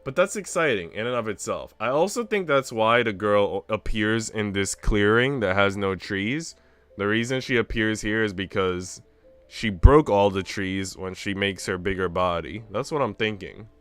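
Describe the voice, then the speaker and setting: A man's voice: on the low side, moderate pace, a bit bright, clear, resonant tone, dry recording, faint music behind. A man streaming a visual novel, reading its narration aloud expressively with casual commentary.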